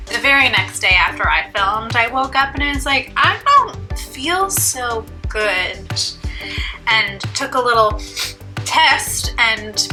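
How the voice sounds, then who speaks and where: A woman's voice: fairly high pitch, expressive, slow, bright, forceful tone, soft background music. A young woman vlogging about beauty products in a casual, rambling, self-deprecating way, sounding stuffy and congested. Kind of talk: casual chat to camera.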